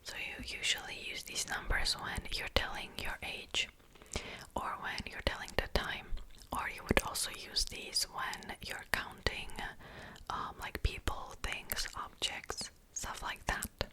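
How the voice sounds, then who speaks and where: A young woman with a Czech accent, whispering a slow, repetitive ASMR language lesson. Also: poor audio. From Czech Republic. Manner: soft whisper, slow and repetitive drilling of words. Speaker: ASMR creator teaching Korean.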